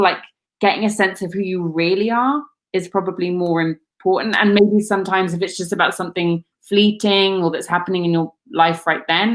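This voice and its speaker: A British woman working as a casting director, talking candidly in a casual Q&A with many "like"s and restarts. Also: fairly low pitch. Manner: candid, rambling, lots of "like". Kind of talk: casual Q&A chat.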